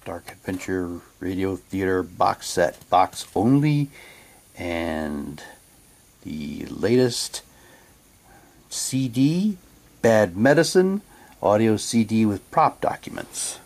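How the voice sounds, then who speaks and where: Man, lowish voice, very lively intonation, casual unboxing chat, theatrical read-aloud phrasing. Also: takes it slowly. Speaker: vlogger unboxing a collectible audio drama CD. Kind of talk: casual unboxing talk with theatrical reading of packaging text.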